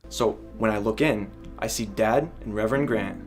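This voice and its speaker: An actor playing an earnest, pleading teenage son and brother in a short film drama. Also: male voice, fairly low pitch, moderate pace, natural voice. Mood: insistent. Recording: echoey film audio, music underneath.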